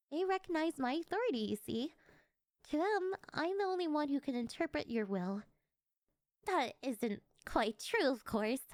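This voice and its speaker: High-voiced, obsessively devoted cleric girl, acted by a woman. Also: dry recording, clean studio sound. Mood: proud, devoted. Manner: devoted, fervent, intimate address to one listener. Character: obsessive, worshipful young female cleric turned cult leader. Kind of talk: anime-style yandere roleplay ASMR acting.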